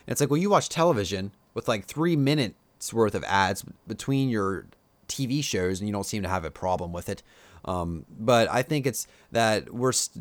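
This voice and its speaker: A man with a Pennsylvania Dutch accent talks to his viewers in a low-pitched voice, rambling loosely with plenty of "um"s and "like"s. He shares strong opinions in a relaxed, off-the-cuff way. The sound is close and dry, with no room around it. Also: no room sound. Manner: rambling, filler-heavy, opinionated. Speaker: YouTuber. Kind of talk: casual live-stream commentary.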